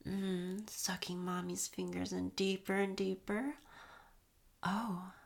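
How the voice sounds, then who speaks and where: A young woman speaks in a soft, teasing whisper, drawing out each phrase slowly. Her voice sits low, with a gravelly fry at the edges and breathy murmurs between lines. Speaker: audio roleplay performer. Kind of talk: intimate whispered audio roleplay.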